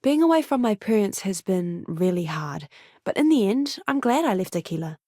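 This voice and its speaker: A woman's voice: mid-range voice, sing-song, moderate pace, mellow, dry recording. Young female crew medic, video game companion, earnest, eager, friendly, close-miked, very dry sound. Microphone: close studio mic, dry.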